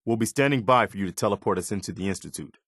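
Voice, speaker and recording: A man's voice: lowish voice, very fast, fairly bright tone, no room sound, clean studio sound. A man playing an earnest, loyal militia officer in a video game, speaking sincerely and plainly with a sense of duty. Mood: resolute. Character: earnest, steadfast militia officer, loyal adult male companion. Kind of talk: acted video game dialogue.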